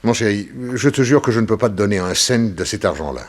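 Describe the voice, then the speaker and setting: An actor playing a wealthy, doting and evasive stockbroker in an early 1960s radio drama, coaxing and hesitant when pressed. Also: male voice, fairly low pitch, expressive, quick pace. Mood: apologetic, evasive.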